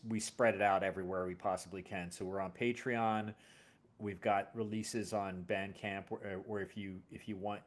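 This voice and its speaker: A man hosting a casual interview, talking in a hesitant, rambling way and often correcting himself. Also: deep voice. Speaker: podcast host and audio drama series creator.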